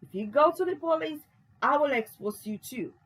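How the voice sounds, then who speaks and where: A woman's voice: sits high, lively intonation, normal speed, voice pushed up, rough recording. A woman reading the lines of a cornered husband in a stage play, dismissive and counter-threatening. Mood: defiant.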